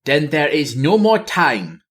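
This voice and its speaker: A regal, determined queen of trolls from a fantasy storybook, put on by a man. The voice is raised in pitch and measured in pace. She sounds proud and resolute. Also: very animated, fairly bright tone, full character voice.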